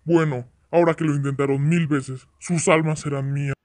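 Un hombre haciendo de diablo intrigante y amenazante. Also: male voice, a little high, fairly even intonation, moderate pace, darkish tone, close to an everyday voice. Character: scheming male devil, ruler of the underworld. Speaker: fan dub voice actor. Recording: music playing behind.

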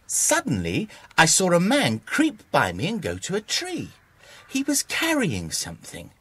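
A man's voice: high voice, huge pitch swings, unhurried, sharp tone, husky, dry recording, full character voice. An actor with a British accent playing a shifty, over-polite man who poses as a friendly bird lover in a children's audio drama. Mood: confiding.

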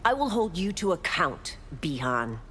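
An actress playing a stern, unbending law enforcer in a video game. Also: female voice, fairly low pitch, very animated, unhurried. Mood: stern authority. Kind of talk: video game fight intro dialogue. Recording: loud music under game dialogue.

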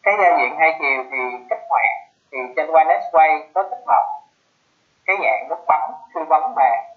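A man giving a live technical presentation in an explanatory way, halting and pausing mid-phrase. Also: on the high side, lively intonation. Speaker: webinar presenter. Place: online video conference. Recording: muffled phone-like web call audio.

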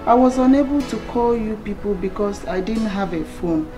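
A woman's voice: mid-range voice, moderate pace, over music, natural voice. Young working daughter played by a woman, late twenties, Nigerian Igbo accent, mid-range voice, earnest and hesitant, film drama. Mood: apologetic. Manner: hesitant, repeating words.

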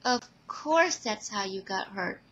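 A woman's voice: medium pitch, expressive, takes it slowly, fairly bright tone, husky, voice pushed up, heavily acted. A woman voicing a caring, flustered teenage girl who exclaims, fusses and teases in an amateur audio drama. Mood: gently teasing. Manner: exclaiming, fussing, teasing.

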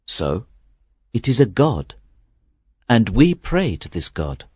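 A man's voice: mid-range voice, huge pitch swings, slow pace, dark tone, breathy edge, dry recording, clean studio sound, full character voice. A man voicing a frightened temple priest who pleads and then explains earnestly. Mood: explaining.

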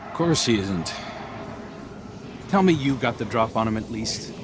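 An actor playing a guarded, earnest young warrior in a video game, speaking in a grave, hushed, troubled manner. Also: male voice, fairly low pitch, lively intonation, a little slow, noisy background. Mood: guarded, apologetic. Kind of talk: dramatic video game dialogue.

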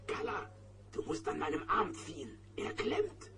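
Gravelly, gruff cartoon bear. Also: male voice, high voice, huge pitch swings, a little slow, heavily acted. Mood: urgent. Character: gruff, brave male bear posing as knight. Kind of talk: German children's cartoon audio play. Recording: very noisy old cassette recording.

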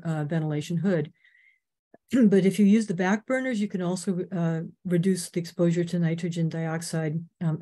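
An older woman from Canada giving an earnest, informative slide talk on a video call, clearing her throat now and then. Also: lowish voice, dark tone. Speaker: presenter.

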